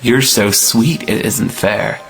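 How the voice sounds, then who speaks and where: Woman doing a cocky young gent in love, super deep voice, takes his time, old stage comedy. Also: takes it slowly, soft background music. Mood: teasing, triumphant. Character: cocky, amorous young gentleman suitor, boyish and impulsive. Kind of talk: stage comedy audio play acting.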